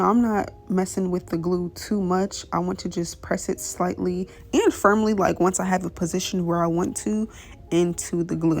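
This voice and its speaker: Raspy young woman, chatty tutorial voice. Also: lowish voice. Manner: chatty, fillers like "you know" and "pretty much". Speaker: beauty vlogger. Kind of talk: casual tutorial voice-over. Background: soft background music.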